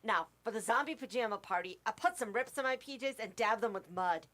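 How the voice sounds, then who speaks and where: Lady doing a snarky schoolgirl prankster, radio play thing, voice a bit high. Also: expressive, a little fast, a bit bright. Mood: upbeat. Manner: snarky, dry comebacks. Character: sullen, sarcastic schoolgirl furry, mischievous prankster. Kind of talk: amateur live radio play.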